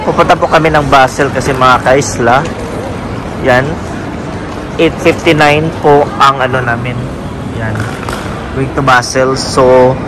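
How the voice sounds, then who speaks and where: A man with a Filipino accent chatting casually as a travel vlogger, often addressing his viewers as he goes. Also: mid-range voice. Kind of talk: casual travel vlog chat. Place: out and about, streets and train stations. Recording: noisy outdoor and station background.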